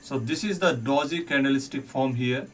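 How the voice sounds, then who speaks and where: A man's voice: medium pitch, moderate pace, a bit bright. A man on a live trading stream, talking viewers through candlestick charts and reading out chat comments. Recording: distant mic with faint music behind.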